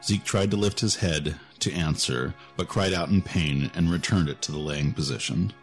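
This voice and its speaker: A man's voice: sits low, normal speed. A man narrating a scripted fantasy story as the narrator of an audio drama. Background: music.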